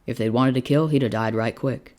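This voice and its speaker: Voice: medium pitch, fairly even intonation, brisk, a little mellow, natural voice. A reader voicing a kindly, exasperated frontier doctor in a story, plainspoken and folksy. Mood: reassuring.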